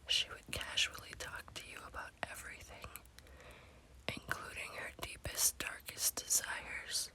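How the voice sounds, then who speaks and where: A woman hosting a spooky ASMR story series, speaking in a breathy, hushed whisper with a slow, deliberate delivery. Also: low-quality recording.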